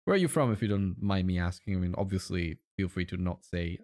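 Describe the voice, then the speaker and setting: A man with an Italian accent, a native Italian speaker, chatting casually and in a friendly, halting way as a game streamer. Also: sits low, darkish tone. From Italy. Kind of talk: casual livestream chat.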